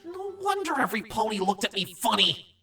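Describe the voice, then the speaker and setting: Earnest young male cartoon changeling, played by a woman: raspy, halting, slightly distant recording. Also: fairly high pitch, big pitch swings, sharp tone, faint music behind, full character voice. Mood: awkward, earnest. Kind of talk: cartoon fan fiction audio drama.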